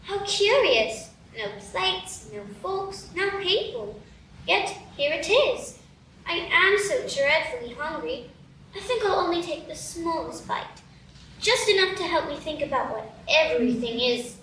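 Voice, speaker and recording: A woman's voice: very high-pitched, animated, unhurried, sharp tone, a little hiss or hum, exaggerated voice. A woman playing a curious, polite young girl in a live radio play, with prim, proper diction. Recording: echoey live stage from far off.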